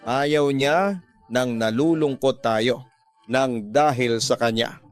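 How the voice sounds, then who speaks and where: A man's voice: medium pitch, slow pace, faint music behind, lightly acted. A man voicing a devoted young adult daughter in a radio drama, tearfully comforting and earnestly pleading.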